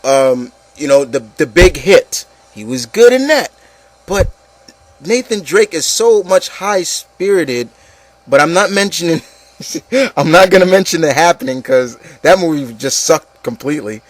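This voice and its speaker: Man, show host, higher-than-average pitch, dry close sound, informal opinionated chat. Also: animated, unhurried, no echo. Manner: informal, chatty, addresses listeners as guys. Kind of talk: casual opinionated movie chat.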